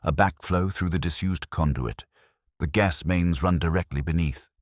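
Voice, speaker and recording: A man's voice: low voice, normal speed, dry recording, studio quality, lightly acted. A man voicing a coolly precise, commanding Victorian detective with curt, cerebral delivery in an audiobook. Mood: urgent. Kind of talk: audiobook character dialogue.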